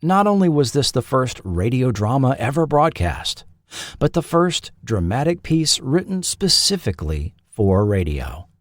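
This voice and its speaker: An American man hosting a podcast with scripted documentary narration, deliberate and dramatic at the ends of phrases. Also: medium pitch, sing-song, no echo, clean studio sound. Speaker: podcast host.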